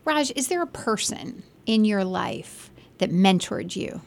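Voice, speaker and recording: A woman hosts a community interview show. She affirms her guest warmly in words and builds long, careful questions, speaking at a middle pitch. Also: big pitch swings, unhurried, gravelly. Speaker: TV interview host.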